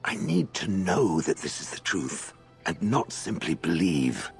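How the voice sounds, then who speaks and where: An actor voicing a huge ancient stone golem in a video game, haughty and dry, speaking formally and deliberately. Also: male voice, low voice, lively intonation, faint music behind. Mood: pensive, formal. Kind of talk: video game character acting.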